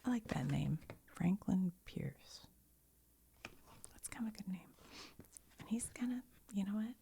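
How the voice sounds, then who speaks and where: A woman whispering softly, mostly unvoiced, while she sorts and reads cards with chatty, giggly asides. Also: mid-range voice, expressive, unhurried, creaky, a little hiss or hum. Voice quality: soft whisper, often unvoiced. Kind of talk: whispered ASMR card sorting.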